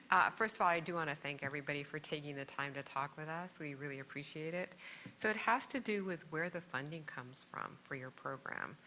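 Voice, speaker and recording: A woman's voice: low voice, sharp tone, a little hiss or hum, poor audio. A woman on a panel politely asking a question about program funding.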